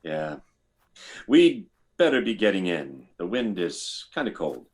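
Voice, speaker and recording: Male actor playing measured military medic, lowish voice with lively swings, vintage sci-fi radio drama. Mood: curious, musing. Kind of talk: 1950s radio drama acting.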